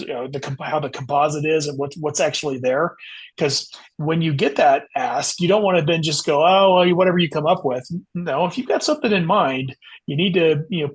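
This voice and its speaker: A man's voice: fairly high pitch, husky. A man speaking as an author guest in a casual interview, explaining things and often saying "you know". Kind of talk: casual interview talk about writing.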